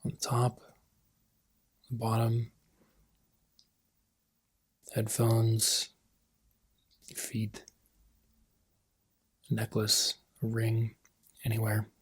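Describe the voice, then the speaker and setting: A man leading a guided meditation as a coach, speaking gently in unhurried phrases that trail off softly. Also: lowish voice, monotone, husky.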